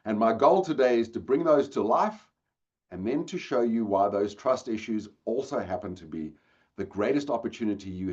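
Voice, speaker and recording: A man's voice: lowish voice, a little mellow, muffled recording. South African man, webinar speaker. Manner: engaging, addresses audience directly. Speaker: guest speaker. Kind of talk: webinar presentation. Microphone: video call.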